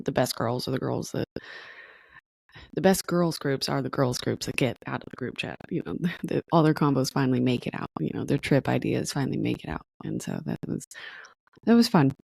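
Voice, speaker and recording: A tired, hoarse woman hosting her podcast, chatting casually and rambling with fillers and restarts. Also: very deep voice, mellow, no echo. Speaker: podcast host. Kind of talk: casual solo podcast chat.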